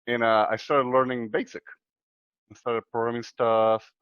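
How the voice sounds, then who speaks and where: A man from Chile in his thirties, talking in a casual interview as the guest, soft-spoken and hesitant with many fillers. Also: medium pitch, little pitch movement, normal speed, smooth, dry recording, dull audio. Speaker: guest computer scientist.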